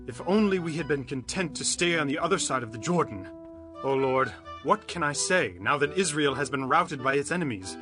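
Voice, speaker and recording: Bright, forceful Israelite leader Joshua. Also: male voice, a little high. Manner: impassioned, declamatory. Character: Joshua, earnest male leader of ancient Israel. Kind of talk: dramatized scripture audio acting.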